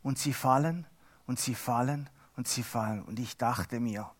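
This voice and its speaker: A middle-aged Swiss man whose first language is Swiss German, giving a chatty, halting lay sermon with self-mocking humor. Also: medium pitch. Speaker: lay preacher.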